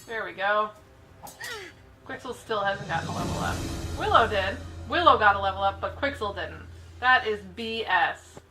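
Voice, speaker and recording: A woman's voice: mid-range voice, expressive, normal speed, over music. A woman hosting a gaming video with casual commentary, bantering with the characters in an exasperated way. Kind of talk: casual let's play commentary.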